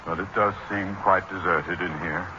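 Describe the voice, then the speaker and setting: A man's voice: deep voice, fairly even intonation, moderate pace, bright, forceful tone. An actor playing a jumpy, formal middle-aged man who stammers in alarm in a 1940s radio sitcom. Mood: polite, uneasy. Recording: muffled, very noisy 1940s radio recording.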